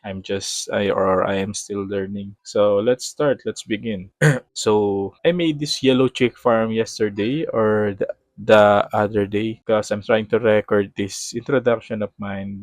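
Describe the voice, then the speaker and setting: A man in his thirties with a Filipino accent talks in a fairly low voice, casual and unhurried. He keeps correcting himself and hunting for the right English word as he plays. The recording is close and dry, with no room around it. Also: darkish tone, no echo. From Philippines. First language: Tagalog. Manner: rambling, self-correcting, searching for words. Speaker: gaming vlogger. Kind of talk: casual gameplay commentary.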